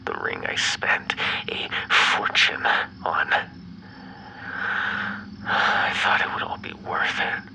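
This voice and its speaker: A man playing an obsessive, bitter, menacing ex-lover in an ASMR roleplay, speaking in a breathy, brooding half-whisper. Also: close to an everyday voice, low-quality recording. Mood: resentful, menacing. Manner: slow, brooding, theatrically menacing. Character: obsessive, bitter yandere male vampire ex-lover, menacing captor.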